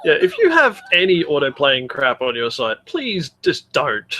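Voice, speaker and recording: A man's voice: on the high side, wildly swooping pitch, measured pace, pushed and bright, a little hiss or hum. A man with a mixed Australian and English accent, chatting casually and irreverently as a podcast co-host, with plenty of swearing. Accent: mix of Australian and English. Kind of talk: casual chat, banter.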